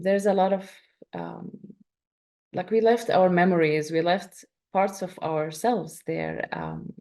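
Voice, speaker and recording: Gravelly low-voiced woman from Syria. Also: a little mellow. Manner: reflective, many fillers and restarts. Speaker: interviewee, writer. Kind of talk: emotional interview answers.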